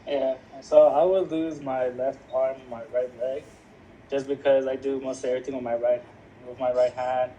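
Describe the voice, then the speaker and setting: Young male guest, warm dark tone, hesitant filler-heavy talk, faint noise behind. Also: mid-range voice, level tone, moderate pace, dull audio. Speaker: podcast guest. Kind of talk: casual podcast chat.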